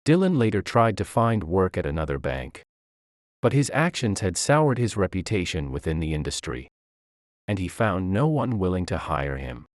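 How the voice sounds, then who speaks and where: A man's voice: sits low, very animated, moderate pace, no room sound, studio quality. A man reading a scripted first-person story as its narrator.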